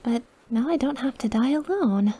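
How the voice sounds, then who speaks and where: A woman's voice: high voice, lively intonation, normal speed, dark tone, slightly rough. A woman playing an obsessive, lovesick, dying young witch in an intimate ASMR roleplay, with a clingy, pleading manner. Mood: tender, pleading.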